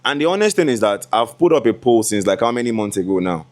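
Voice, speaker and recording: A young Nigerian man in his twenties chats to his viewers in a loose, laughing vlog voice. His tone sits a little low for a man. Now and then he slips into Yoruba asides. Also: big pitch swings, quick pace. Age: 20s. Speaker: content creator. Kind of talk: casual vlog talk to camera.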